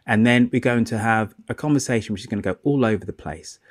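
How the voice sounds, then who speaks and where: A man's voice: medium pitch, quick pace, no room sound, clean studio sound. A man hosting a podcast interview, chatty and self-deprecating, with rambling questions. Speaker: podcast host. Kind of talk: podcast interview over a remote call.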